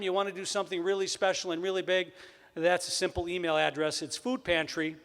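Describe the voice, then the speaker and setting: A man from Wisconsin with a Wisconsin accent, emceeing a college convocation with upbeat announcements and jokes. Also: fairly bright tone. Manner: upbeat, joking, booming sports-announcer intro. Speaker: event emcee. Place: large hall. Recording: long hall reverb.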